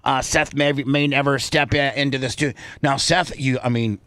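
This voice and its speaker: A man's voice: mid-range voice, fairly even intonation, normal speed, fairly bright tone, no echo, studio quality. A man hosting a radio show in casual banter, rambling and repeating phrases for emphasis.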